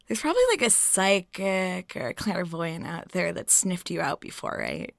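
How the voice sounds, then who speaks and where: A woman performing an intimate roleplay as a friendly, flirty waitress, chatty and giggly, with her voice often dropping soft and breathy. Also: fairly low pitch, normal speed, no echo, studio quality. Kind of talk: intimate ASMR-style roleplay monologue.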